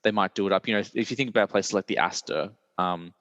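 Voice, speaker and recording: A man, hosting a casual film chat in a deep, croaky voice while he recovers from illness, rambling with many fillers. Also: fast, fairly bright tone, no echo, big-room sound. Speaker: podcast host.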